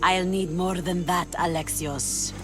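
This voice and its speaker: An actress playing a shrewd, ruthless pirate queen in a video game, speaking with cool, mocking menace over loud music. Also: fairly low pitch, steady tone, slow. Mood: sly. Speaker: pirate leader. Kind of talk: video game cutscene acting. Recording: game audio with loud score behind.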